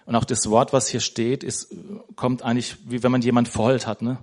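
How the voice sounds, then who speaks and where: A man's voice: medium pitch, little pitch movement, moderate pace, a little mellow. Man giving a chatty, meandering sermon. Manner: conversational, tags nearly every sentence with "ne?"; searching for words, restarting sentences. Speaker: lay preacher. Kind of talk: informal sermon. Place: church.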